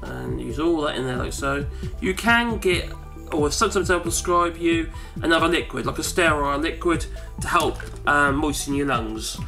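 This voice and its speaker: A man's voice: on the high side, lively intonation, moderate pace, gravelly, few pauses, pushed and bright, soft background music. A wheezy man in his forties, rambling through a casual how-to demonstration in his home study. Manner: rambling, chatty, self-correcting. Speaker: home video maker. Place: cluttered home study, indoors.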